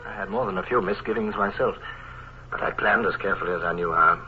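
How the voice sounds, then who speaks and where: An actor playing a steady, commanding naval commodore who also narrates, in 1940s radio drama style. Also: male voice, sits low, a little hiss or hum. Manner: authoritative, measured narration. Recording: tinny old radio broadcast.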